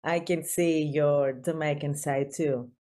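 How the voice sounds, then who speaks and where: A woman hosting a podcast interview over a video call, speaking simply and warmly with non-native phrasing. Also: low-pitched, fairly even intonation, slow. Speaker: podcast host.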